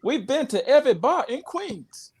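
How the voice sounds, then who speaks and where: A woman's voice: high voice, very animated, moderate pace, a little mellow, husky, heavily acted. A woman playing a loyal, frank male sidekick to a young king in a comedy table read. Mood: earnest, weary.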